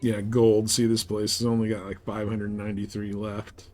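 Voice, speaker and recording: A man's voice: lowish voice, monotone, normal speed, a little mellow. A man giving casual live game commentary, rambling and thinking aloud as he plays. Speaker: game streamer.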